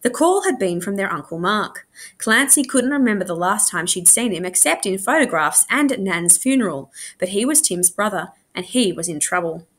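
A woman reads a story aloud with lively, swooping intonation. She sounds like a school librarian sharing a book, animated and expressive in her delivery. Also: medium pitch, moderate pace. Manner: animated, acts out dialogue.

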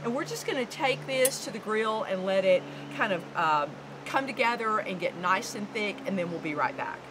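A woman's voice: mid-range voice, animated, normal speed. A woman in her fifties from the American South, giving an upbeat, instructive cooking demonstration on an outdoor deck. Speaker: guest cook. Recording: outdoor background noise.

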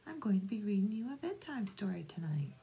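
A middle-aged woman reading bedtime nursery rhymes aloud to young children in a soft, singsong, drawn-out way. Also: medium pitch, very animated, gravelly. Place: small room at home, indoors. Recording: noticeable background room noise.

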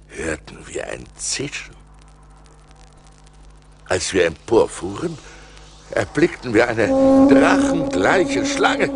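An actor playing a shipwrecked sailor who recounts his perils in a husky, theatrical, suspenseful way, in an audio drama from a vinyl record. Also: low-pitched, fairly bright tone, heavily acted. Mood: horrified. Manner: dramatic, suspenseful delivery with deliberate pauses. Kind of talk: theatrical radio-play storytelling narration.

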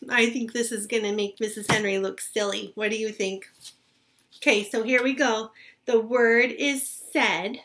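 A woman teacher in her thirties talks to young children in a fairly high voice. She takes her time, explaining each step simply and deliberately, and checks in with questions to the listener. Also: fairly even intonation, fairly bright tone, slight background noise. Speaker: elementary teacher. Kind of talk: instructional talk to young children. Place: small furnished room at home.

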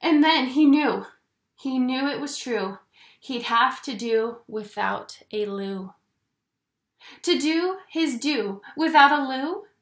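A woman in her twenties reading a children's story aloud in an expressive, theatrical way. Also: sits high, slow pace. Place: small indoor home room. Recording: echoey small room.

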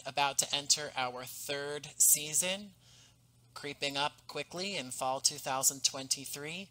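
A man in his thirties, a podcast guest, speaking in a measured, articulate way over a headset mic on a video call. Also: a little high, pushed and bright, poor audio. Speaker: podcast guest, audio drama writer. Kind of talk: casual interview talk. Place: home room with painting behind.

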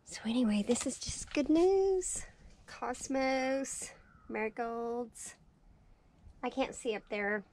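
A woman walking through her greenhouse, chatting cheerfully about her seedlings, her voice raspy and tired from lack of sleep. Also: on the high side, slow pace, darkish tone, slight background noise. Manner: chatty, cheerful, thinking aloud. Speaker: farm vlogger. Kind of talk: casual walkthrough narration. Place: small greenhouse, indoors.

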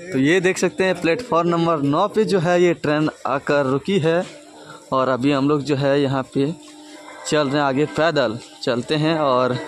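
A young man with a fairly high voice chats to his viewers as friends while walking through a busy railway station. He films himself on a phone held at arm's length, outdoors. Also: fairly even intonation, measured pace, a little hiss or hum. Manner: chatty, addresses viewers as friends. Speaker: travel vlogger. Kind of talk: casual travel vlog narration.

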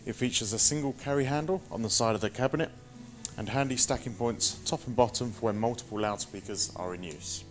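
A man in his thirties presenting a scripted product walk-through in a measured, instructional manner. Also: medium pitch, faint music behind.

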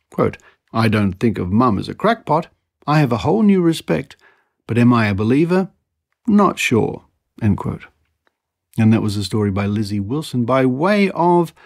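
A man hosting a podcast, reading articles aloud and commenting in a measured, wry manner. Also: mid-range voice, vocal fry, darkish tone, dry recording, studio quality. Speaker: podcast host.

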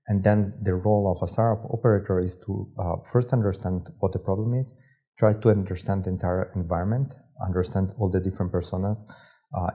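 Young man from Serbia, low and mellow. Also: breathy edge, dull audio. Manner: hesitant, many fillers and restarts. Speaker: guest, startup operator. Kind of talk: casual interview talk. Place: studio set with armchairs.

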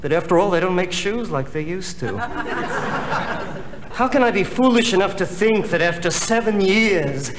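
A man's voice: high-pitched, expressive, normal speed, crowd noise. An actor playing an earnest, polite man in a comedy record sketch. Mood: sheepish, polite. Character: earnest, polite man, customer or smooth-talking salesman. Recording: hissy, reverberant old comedy record before audience.

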